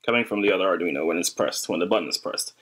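A young adult man with a fairly low voice talks through a hands-on demonstration. His delivery is informal and slightly hesitant, like a casual tutorial. Faint noise and small clicks sit behind him in an indoor desk recording. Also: very fast, fairly bright tone. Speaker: hobbyist electronics demonstrator.

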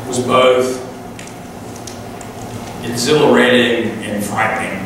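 A man's voice: medium pitch, steady tone, slow, background noise. A man in his sixties, a retiring pastor, giving a sermon with folksy storytelling and dry humor in a large church sanctuary. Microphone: far camera, room pickup. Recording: echoey sanctuary from far off.

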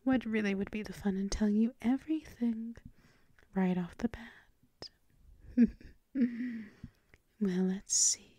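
A woman voicing a seductive, dominant vampire mistress in a breathy, teasing, mostly whispered ASMR roleplay. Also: mid-range voice, mellow, no room sound. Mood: coaxing, seductive. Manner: breathy, slow, teasing, coaxing. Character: seductive, dominant vampire mistress doting on her pet thrall.

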